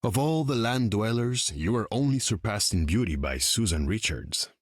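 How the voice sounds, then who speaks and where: Low, husky undersea king. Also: lively intonation, normal speed, no room sound, studio quality. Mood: courtly, amorous. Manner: lofty, formal, courtly. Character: proud, courting undersea king, regal and amorous. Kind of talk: video game voice acting.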